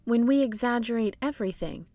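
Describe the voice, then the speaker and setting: A woman's voice: fairly high pitch, a little fast, dark tone, dry recording. A woman hosting a children's read-along, narrating expressively and speaking directly to young listeners. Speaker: storytime host and narrator.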